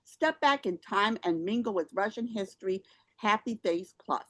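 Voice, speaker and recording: Woman presenting theater reviews, mid-pitched. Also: expressive, moderate pace, a bit bright. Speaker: theater reviewer and show host. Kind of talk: scripted review read aloud.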